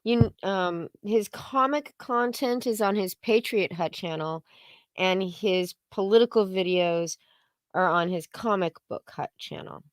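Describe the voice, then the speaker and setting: A woman's voice: medium pitch, a little slow, no room sound. A woman co-hosting a livestream, talking casually and opinionatedly in an informal, matter-of-fact way. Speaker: co-host of a livestream.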